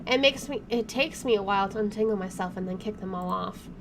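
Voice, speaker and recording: Expressive woman playing games over audible noise. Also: medium pitch, fast talker. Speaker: let's play host. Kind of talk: reading game narration aloud with casual commentary. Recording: clearly audible background noise.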